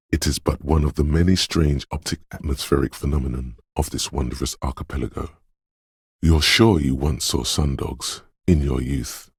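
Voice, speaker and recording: An actor voicing a detective's eerie, dreamy inner voice of intuition in a video game, speaking in an ominous, cryptic, theatrical way. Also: male voice, a bass voice, darkish tone, husky, no room sound, studio quality, heavily acted. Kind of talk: scripted video game voice-over, second-person monologue.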